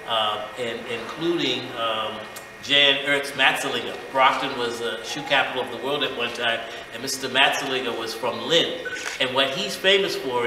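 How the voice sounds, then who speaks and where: An older man from Massachusetts gives a semi-formal guest speech in a measured, deliberate way with hesitations. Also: mid-range voice. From Massachusetts, USA. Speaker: guest speaker, retired teacher and community leader. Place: large echoey indoor event room. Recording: echoey hall, distant mic, background noise.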